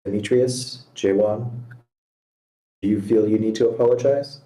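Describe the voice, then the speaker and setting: A man playing a stern, patient mediator in a script read, asking firm, measured questions over an online call. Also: on the low side, mellow, natural voice. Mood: probing. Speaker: amateur actor reading a part. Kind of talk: screenplay table read, dramatic dialogue. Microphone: online meeting call. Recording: roomy, echoing home microphone.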